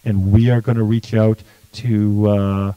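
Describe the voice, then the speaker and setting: A man's voice: fairly low pitch, level tone, unhurried, clear, resonant tone, no echo, muffled recording. Middle-aged man, political candidate; forthright, plain-spoken, dry close recording. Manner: blunt, forthright, restarts often. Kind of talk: livestream interview answers.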